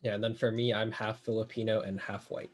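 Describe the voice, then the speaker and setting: A man's voice: on the low side, level tone, fairly quick. A young male college student on a podcast, rambling and leaning heavily on "like" while he thinks aloud. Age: young adult. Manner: rambling, heavy use of "like". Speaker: college student, club officer. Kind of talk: casual podcast chat.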